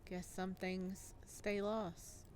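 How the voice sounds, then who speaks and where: A woman voicing a quiet, cryptic male recluse from a visual novel, terse and understated. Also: medium pitch, flat delivery, drawn-out words, a little hiss or hum.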